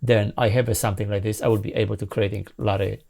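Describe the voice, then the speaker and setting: Middle-aged man, low creaky voice. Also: little pitch movement, darkish tone. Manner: halting, informal, rambling. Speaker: sound designer demonstrating a software synth. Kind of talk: casual product demo. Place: small home music studio, indoors. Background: synth sounds and music.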